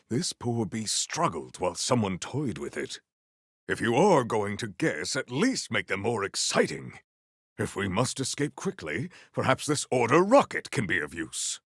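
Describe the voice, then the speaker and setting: An actor playing a proud, honorable warrior in a video game, speaking in a stately, theatrical, formal way. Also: male voice, lowish voice, gravelly, a bit bright, no echo, clean studio sound, exaggerated voice. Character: proud, honorable, formal warrior, adult man, earnest and grandiose. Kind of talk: video game character voice lines.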